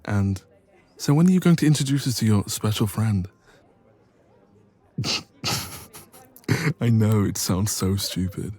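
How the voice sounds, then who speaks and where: A man's voice: on the low side, animated, measured pace, long pauses, dark tone. A man playing a bashful, evasive best friend with a secret crush in an intimate romance audio roleplay. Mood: amused, sheepish. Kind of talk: romance ASMR roleplay, intimate one-sided conversation.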